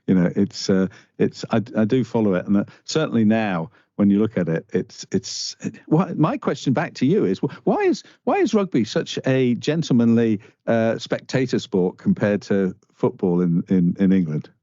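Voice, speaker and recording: Northern irish guy, middle aged, stumbles and restarts a lot, dark tone, kinda lo-fi. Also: on the low side, expressive, no echo. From Northern Ireland. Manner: halting, restarts often, earnest. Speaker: guest. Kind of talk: conversational interview. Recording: dull lo-fi dry sound.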